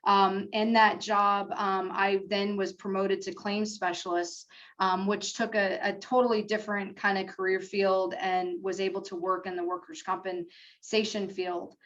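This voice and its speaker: Middle-aged woman, earnest and halting. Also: medium pitch, flat delivery, a bit bright, highs cut off. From South Florida. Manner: earnest, hesitant with many fillers. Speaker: school district HR manager, panelist. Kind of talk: career panel talk.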